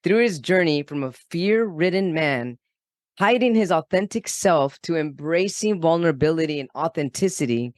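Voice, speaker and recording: A man's voice: on the high side, dry recording, studio quality. A man hosting a motivational podcast, enthusiastic and affirming as he welcomes and questions his guest. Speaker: podcast host.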